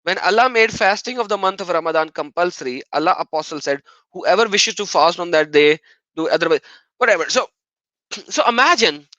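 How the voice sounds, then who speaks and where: A man's voice: high-pitched, pushed and bright, no echo, poor audio. A man hosting an argumentative live stream on religion, often mocking and repeating phrases for emphasis.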